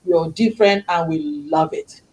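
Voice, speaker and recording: A woman's voice: lowish voice, normal speed, darkish tone, poor audio. A middle-aged woman hosting a talk show who speaks English as a second language, talking in an effusive, faith-filled, preachy way. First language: not English. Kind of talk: casual chat show hosting.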